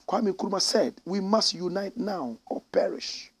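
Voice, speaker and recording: High-pitched, fiery Ghanaian preaching man. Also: a little slow, slightly rough. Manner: preachy, emphatic, swings from shouting to low asides. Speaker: commentator. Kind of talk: impassioned political commentary.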